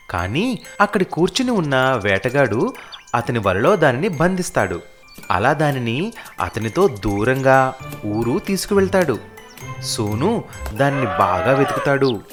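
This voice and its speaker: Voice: medium pitch, very animated, a little slow, soft background music. A storyteller narrating a scripted animal fable for children.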